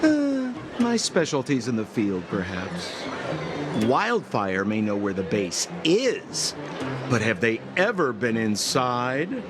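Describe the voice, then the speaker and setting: A man's voice: mid-range voice, huge pitch swings, slow pace, over music. A man playing a slippery young wheeler-dealer in a video game, theatrical and ingratiating. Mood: smooth, pleading.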